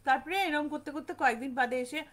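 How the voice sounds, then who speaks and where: A woman's voice: sits high, fast talker, fairly bright tone. A woman telling a ghost story informally, conversationally repeating phrases for emphasis and lightly acting out dialogue.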